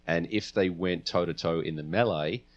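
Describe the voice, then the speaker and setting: A man co-hosting a podcast, casually debating science fiction in a measured, deliberating way. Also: deep voice, muffled recording. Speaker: podcast co-host.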